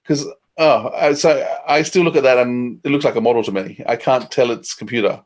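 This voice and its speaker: A man's voice: medium pitch, a little fast. A man hosting a podcast interview in a casual, enthusiastic and admiring way. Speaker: podcast host.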